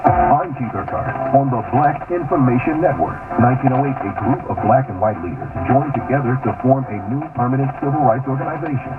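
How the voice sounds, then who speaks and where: Male radio presenter, quick scripted narration, narrow AM sound, prominent music bed. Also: mid-range voice, animated. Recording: tinny AM radio aircheck. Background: loud music bed.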